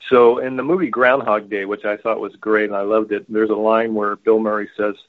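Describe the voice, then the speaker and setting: A man's voice: fairly low pitch, level tone, brisk. A wordy man who rambles and restarts as he makes his points in a casual chat. He comes through a tinny, phone-like line.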